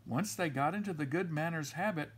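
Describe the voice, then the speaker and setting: A man reading a children's story aloud in an expressive storytelling style. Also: a little high, brisk, slightly rough. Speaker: storybook reader.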